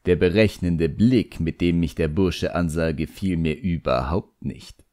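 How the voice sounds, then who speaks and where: A man's voice: low-pitched, a little slow, warm tone, no echo, studio quality. A man with a standard German accent reading scripted first-person fantasy narration expressively as an audiobook narrator.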